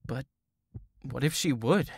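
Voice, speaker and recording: A woman's voice: extremely low pitch, takes it slowly, darkish tone, slightly rough, dry recording, clean studio sound, exaggerated voice. A woman playing a skeptical, wry and loyal adventurer in a fantasy audio drama. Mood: worried.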